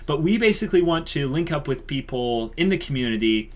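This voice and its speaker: A man's voice: on the high side, very animated, fairly quick. A man co-hosting an online channel, explaining things informally in casual chat to viewers.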